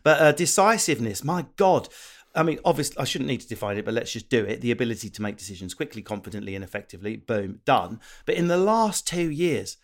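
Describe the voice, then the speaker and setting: A man hosting a podcast, joking and swearing as he chats, sometimes slipping into quick silly voices. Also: medium pitch, expressive. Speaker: podcast host. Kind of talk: casual comedic podcast chat.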